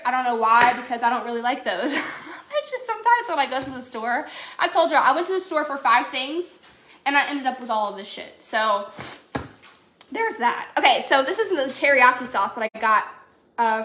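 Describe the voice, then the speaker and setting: Bubbly high-voiced young woman. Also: pushed and bright. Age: young adult. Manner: rambling, giggly, talks to viewers by name. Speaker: livestream host. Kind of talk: chatty live grocery haul. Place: home kitchen, indoors. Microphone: phone camera at arm's length. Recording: echoey kitchen with faint noise.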